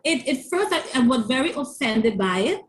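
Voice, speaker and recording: A woman's voice: high-pitched, sing-song, moderate pace. A woman with a stutter and a lisp, earnestly telling her story as an interview guest, often repeating words as she restarts. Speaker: author and parent coach.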